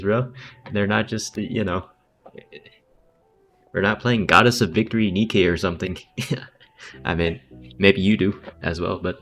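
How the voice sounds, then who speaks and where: A low-pitched man streams a game with casual, chatty commentary. His talk is slangy and sweary, with some music behind him.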